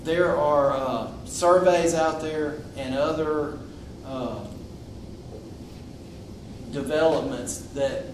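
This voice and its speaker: A man speaking loudly and bluntly to a public meeting, with frequent restarts. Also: on the high side, fairly even intonation, very slow, far from the mic. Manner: loud, outspoken, with frequent restarts. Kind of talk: impromptu public address. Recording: echoey room recorded from afar, noisy.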